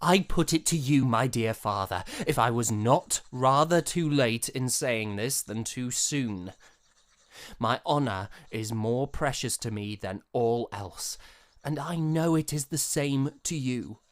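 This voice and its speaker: An actor playing a proud, passionate young eighteenth-century gentleman in an audio drama, speaking formally and theatrically. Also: medium pitch, slow pace, close to an everyday voice. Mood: earnest, resolute. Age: young adult. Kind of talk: period audio drama acting.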